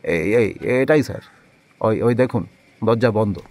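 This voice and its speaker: A man's voice: on the low side, a little slow, darkish tone, no room sound, close to an everyday voice. A man playing a nervous, fawning loan-recovery boss in an audio drama, often stammering and evasive. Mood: anxious pleading.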